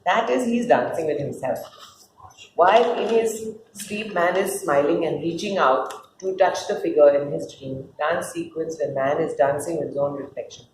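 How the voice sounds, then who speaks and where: A woman's voice: low-pitched, normal speed, a little mellow. A woman reading out scripted stage directions as the narrator of a play. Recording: roomy echo with faint noise.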